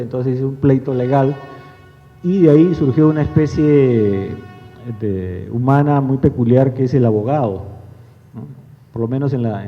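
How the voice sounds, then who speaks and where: A middle-aged man with a Lima accent from Peru, giving a wry, digressive public lecture with many fillers. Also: mid-range voice. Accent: Lima Peruvian Spanish. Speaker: guest speaker. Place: lecture hall. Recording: echoey hall, dull lo-fi sound.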